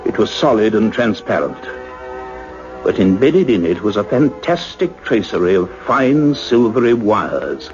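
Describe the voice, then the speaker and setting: An actor playing an earnest, intense male scientist in a radio play, with deliberate, dramatic phrasing. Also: mid-range voice, darkish tone. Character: earnest male scientist, doctor, excited yet grave and cautious. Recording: dull lo-fi radio recording, faint music.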